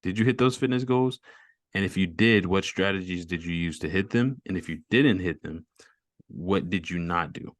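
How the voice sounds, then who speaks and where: A deep-voiced man hosts a relaxed interview over a video call. He talks with upbeat encouragement and easy slang. The recording is dry, with no room sound. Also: normal speed, dry recording. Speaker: host.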